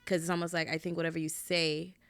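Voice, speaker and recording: A woman's voice: fairly low pitch, flat delivery, quick pace, a bit bright, no room sound, faint music behind. A woman from Jamaica with a Jamaican accent, a guest on a podcast, speaking candidly and teasing her cousin. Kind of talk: casual podcast conversation.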